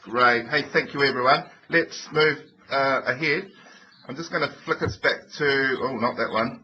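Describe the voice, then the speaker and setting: A man's voice: medium pitch, moderate pace. A man hosting an online webinar in an encouraging, informal way, with fillers. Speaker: webinar host. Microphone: computer mic on a video call. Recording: muffled lo-fi web call audio with faint noise.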